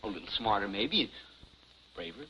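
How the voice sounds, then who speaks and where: A man playing a naive, boastful small-town young man with a folksy, halting manner in 1950s television comedy. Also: lowish voice, lively intonation, pushed and bright. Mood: boastful, dreamy. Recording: dull vintage 1950s TV soundtrack, faint noise.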